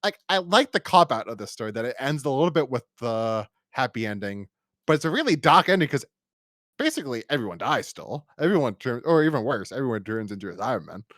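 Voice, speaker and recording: A man co-hosts a casual review podcast in an animated, medium-pitched voice. His intonation moves around a lot as he rambles and slips into imagined quotes. The sound is dry, with no room echo. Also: quick pace. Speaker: podcast co-host.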